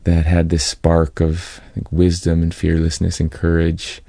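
A middle-aged American man from California giving a reflective, halting personal account as an interview guest. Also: low-pitched, monotone, creaky, dark tone, no echo, studio quality. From California, United States.

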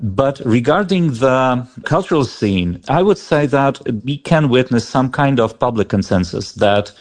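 A man with a Ukrainian accent, representing a book festival in an interview, speaking slowly and carefully with many fillers and restarts. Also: mid-range voice, a little mellow. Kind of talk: radio interview answers.

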